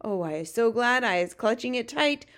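A woman voicing an excitable, dramatic male giant in a storybook reading, exclaiming in ungrammatical, folksy speech. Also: a little high, normal speed. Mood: exasperated, dramatic. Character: excitable big-eared male giant, dream catcher, dramatic.

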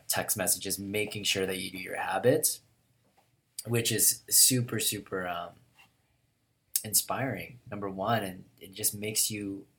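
Hoarse young male host, low voice, upbeat and encouraging. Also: a bit bright. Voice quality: deep, hoarse from a cold. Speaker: podcast host. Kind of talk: casual chat.

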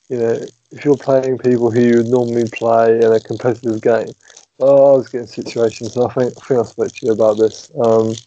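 Guy cohosting a pod on a crappy old headset, flat mellow voice, lots of ums. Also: mid-range voice. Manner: hesitant, many ums, trails off. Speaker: podcast co-host. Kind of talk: casual podcast chat. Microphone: old phone headset. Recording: dull lo-fi phone headset.

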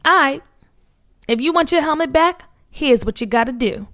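A woman's voice: sits high, animated, normal speed, a bit bright, no echo, studio quality, pitched above the performer's own voice. A woman voicing a smug neighborhood bully boy who taunts and mocks. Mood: taunting. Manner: taunting, mocking.

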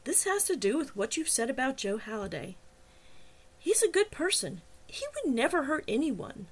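A woman's voice: high-pitched, sing-song, normal speed, pitched above the performer's own voice. A woman voicing an angry, stubborn young woman in an audiobook, speaking in an emphatic, protesting way. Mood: indignant. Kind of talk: audiobook character dialogue.

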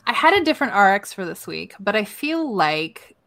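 A woman's voice: mid-range voice, big pitch swings, moderate pace, vocal fry, fairly bright tone, no echo, clean studio sound. A woman co-hosting a talk show, giving casual conversational advice in an agreeable, encouraging way.

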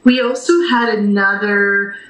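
A woman's voice: mid-range voice, fairly even intonation, unhurried, fairly bright tone. A Canadian woman answering interview questions conversationally and enthusiastically over a video call, as a theatre director and radio guest. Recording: roomy video call, faint noise.

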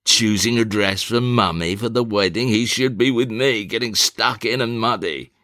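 A man voicing a gruff, blustering older country squire who chuckles to himself, in a one-man reading of a stage play. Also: on the low side, moderate pace, pushed and bright, no room sound, studio quality.

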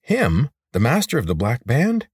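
A man's voice: medium pitch, wildly swooping pitch, moderate pace, breathy edge, no echo, clean studio sound, pitched above the performer's own voice. A man playing a young, impatient adventure hero in an audiobook, blunt and demanding. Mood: shocked. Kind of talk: audiobook character voice.

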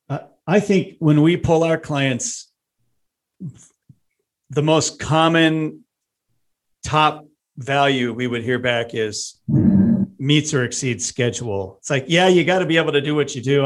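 American man, interview host, mid-pitched voice, casual, chatty, thinking out loud. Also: unhurried.

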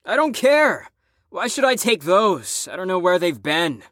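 A woman's voice: on the low side, big pitch swings, unhurried, fairly bright tone, no echo, clean studio sound. A woman playing a cocky, crude, defiant wisecracking patient in an audio drama, brash and mocking.

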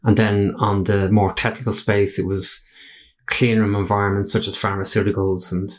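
Low-voiced Irish man in conversation. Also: level tone, normal speed. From Ireland. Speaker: podcast guest, company chief executive. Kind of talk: conversational interview answers.

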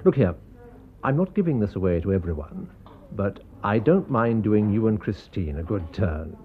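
Actor as a low-voiced, scheming English gentleman, tinny recording. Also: expressive, moderate pace, close to an everyday voice. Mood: confiding, persuasive. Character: coolly scheming, conspiratorial English gentleman surgeon, a murderer. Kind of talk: radio drama acting.

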